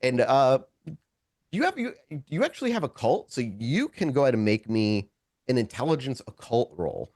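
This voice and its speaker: A man's voice: mid-range voice, very animated, normal speed, darkish tone, no echo, studio quality. A man narrating a tabletop role-playing game as game master, improvising scene descriptions and often correcting himself as he searches for words.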